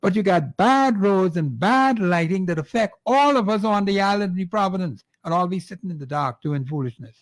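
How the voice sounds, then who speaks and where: A man's voice: high-pitched, animated. Fiery Bahamian male radio host. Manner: fiery, exhorting, repeats phone number slowly. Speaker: radio talk show host. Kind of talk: call-in radio talk.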